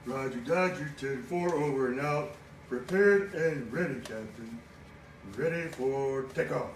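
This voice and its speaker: A man's voice: mid-range voice, unhurried, natural voice. A man voicing a goofy, forgetful puppet space crewman with a folksy, dutiful manner in a children's church show. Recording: echoey room, distant mic, faint noise.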